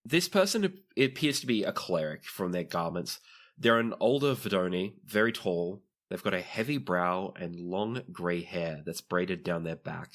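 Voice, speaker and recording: A man's voice: low voice, lively intonation, normal speed, a bit bright. A man narrating a tabletop roleplaying game as the game master.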